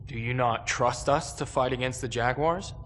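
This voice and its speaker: A man's voice: mid-range voice, moderate pace, fairly bright tone, a little hiss or hum, lightly acted. Terse, brooding male star commander actor. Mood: dutiful, challenging. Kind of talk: dramatic video game acting.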